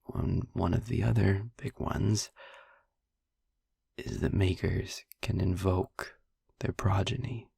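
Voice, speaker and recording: A man as a husky, breathy low-voiced vampire boyfriend. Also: fairly even intonation, dark tone, no room sound, clean studio sound, exaggerated voice. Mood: serious, careful. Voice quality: breathy, husky, often near a whisper. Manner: halting, pausing, wry asides. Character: young male vampire boyfriend, wry, gentle, confiding. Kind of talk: intimate audio roleplay, softly confiding to the listener.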